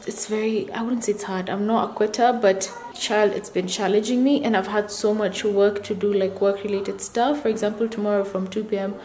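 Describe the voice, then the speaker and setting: Chatty young woman vlogging to camera. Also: a little hiss or hum. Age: young adult. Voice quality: deeper voice for a woman. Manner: chatty, relaxed, drawn-out fillers. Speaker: student vlogger. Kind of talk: casual vlog chat. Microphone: camera or phone held by herself.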